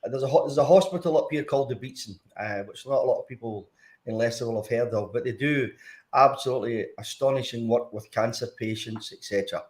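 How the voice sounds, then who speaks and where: Older Glaswegian man, halting and chatty. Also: mid-range voice. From Scotland. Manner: hesitant, many fillers and restarts. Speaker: guest, former footballer. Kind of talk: casual interview.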